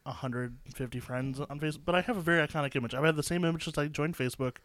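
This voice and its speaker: A man's voice: a little high, racing, fairly bright tone, no echo. A man co-hosting a podcast in casual chat, nasal from a stuffed-up nose, with dry joking asides, recorded in a cold basement. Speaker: podcast co-host.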